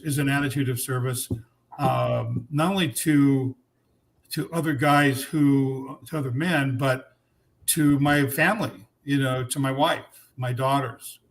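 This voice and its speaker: A man's voice: mid-range voice, steady tone, a little slow, gravelly. Seventy-something man telling his story. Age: 70s. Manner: reflective storytelling with fillers. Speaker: guest. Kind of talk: casual chat.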